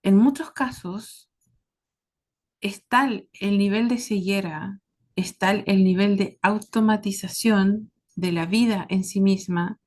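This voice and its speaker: Woman, Chilean accent, mid-pitched voice, earnest, reflective monologue, deliberate short phrases with pauses. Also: a little mellow. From southern Chile. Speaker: host of a series about caregiving. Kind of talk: reflective spoken monologue to listeners.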